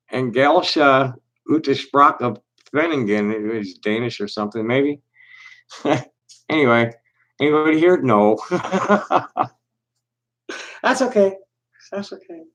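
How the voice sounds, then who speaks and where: A man hosting a solo livestream, reading aloud slowly and deliberately, then chatting in a halting, rambling way with many restarts. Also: medium pitch, expressive, a bit bright. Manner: reads aloud with deliberate slow pauses. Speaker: livestream host. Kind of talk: casual solo livestream chat.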